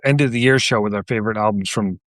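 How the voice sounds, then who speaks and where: Lower-voiced man chatting on a podcast. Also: quick pace, a bit bright, no room sound, studio quality. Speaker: podcast guest and co-host of a music show. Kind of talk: casual podcast chat.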